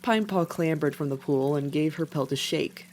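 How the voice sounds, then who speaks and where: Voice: mid-range voice, normal speed, a little mellow. A narrator reading scripted fiction as the storyteller of an animal tale. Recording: faint background noise.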